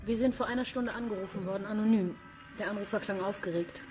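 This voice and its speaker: An actress playing a dry, sardonic police inspector in a German radio crime drama. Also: female voice, medium pitch, fast talker, music in the background, close to an everyday voice. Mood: businesslike. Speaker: police detective character.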